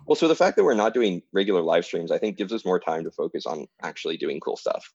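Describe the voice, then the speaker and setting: A man with a very deep voice who talks quickly and easily, steering the chat along. The sound is dry and dull, from a lo-fi mic. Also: lively intonation, darkish tone, breathy edge, dry recording. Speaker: co-host. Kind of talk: casual chat.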